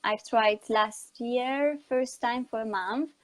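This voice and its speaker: A woman with a fairly high voice and a Polish accent. She is a communication coach from Poland, a native Polish speaker, answering in a conversational interview style. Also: slow pace. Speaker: interview guest, communication coach and online educator.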